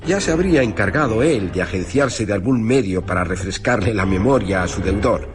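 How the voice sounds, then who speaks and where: An actor playing an urbane, wry gentleman narrator in a literary radio play. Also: fairly low pitch, expressive, brisk, background noise, lightly acted. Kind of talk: scripted literary storytelling in radio drama. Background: prominent music.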